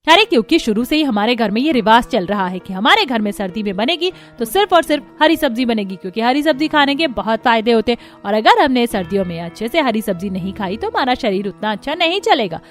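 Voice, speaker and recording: A woman's voice: fairly high pitch, big pitch swings, fast talker, few pauses, soft background music. A woman playing a strict, thrifty mother-in-law who scolds her family in a cartoon. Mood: scolding. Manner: scolding, bossy. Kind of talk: cartoon voice acting.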